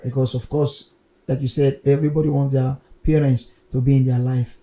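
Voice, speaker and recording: A man's voice: medium pitch, flat delivery, a little fast, dark tone. A man hosting an unscripted football discussion, explaining his points in a conversational way. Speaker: sports talk show host.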